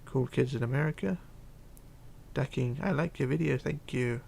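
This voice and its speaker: Gravelly-voiced man streaming a game. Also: medium pitch, darkish tone, slight background noise. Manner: rambling, chatty, halting with fillers and restarts. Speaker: Minecraft livestreamer. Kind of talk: casual livestream, reading chat aloud.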